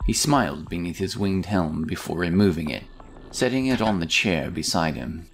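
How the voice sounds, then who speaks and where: Deep, gravelly man narrating fantasy. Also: animated, normal speed, faint music behind, poor audio. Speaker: audiobook narrator. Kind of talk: scripted fantasy story narration.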